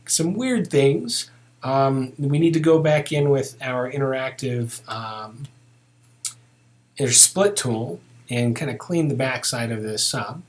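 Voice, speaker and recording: Man narrating a casual step-by-step tutorial. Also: fairly high pitch. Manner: casual, thinking aloud. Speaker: software tutorial instructor.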